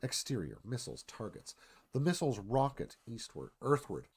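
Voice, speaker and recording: A man's voice: mid-range voice, big pitch swings, moderate pace, darkish tone, slightly rough. A man reading dramatic scripted narration as screenplay narrator, in clipped phrases.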